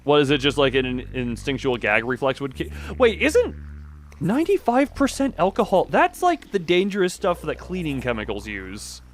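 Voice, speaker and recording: A man livestreaming a game with casual, excitable commentary, rambling and thinking aloud to himself. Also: fairly high pitch, normal speed, a little hiss or hum. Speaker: game streamer. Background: music.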